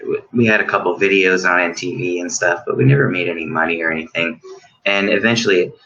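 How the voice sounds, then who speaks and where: A man's voice: deep voice, fast, pushed and bright, dull audio. A man talking casually as a guest musician, rambling with many fillers and restarts. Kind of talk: casual chat.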